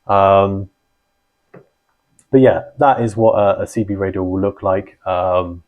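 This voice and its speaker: A man's voice: low-pitched, level tone, takes it slowly, phone-quality sound. A man hosting an informal beginner explainer, talking conversationally and checking in with short tags as he explains. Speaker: host, founder of a radio network.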